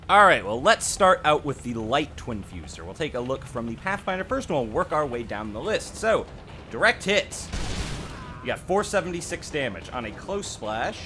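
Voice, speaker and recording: Man, gaming host, animated intonation, conversational, opinionated, self-deprecating, background music. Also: a little high. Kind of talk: casual game update commentary.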